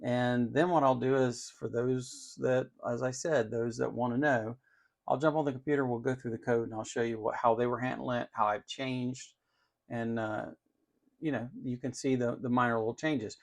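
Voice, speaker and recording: Creaky-voiced man demonstrating electronics. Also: medium pitch, fairly even intonation, normal speed. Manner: explanatory, opinionated, emphatic on key words. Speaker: host of an electronics channel. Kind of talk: unscripted tech demonstration.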